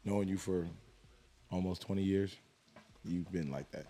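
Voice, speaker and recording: A man with a deep voice chatting casually on a podcast, quick and playful, repeating himself. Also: steady tone, dark tone, a little hiss or hum.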